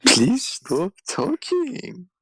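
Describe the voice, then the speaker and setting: A man's voice: high-pitched, huge pitch swings, very slow, heavy background noise. A young man hosting a crude comedy podcast over a call, rambling and swearing between laughs. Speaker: podcast host. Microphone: remote call over shaky wi-fi.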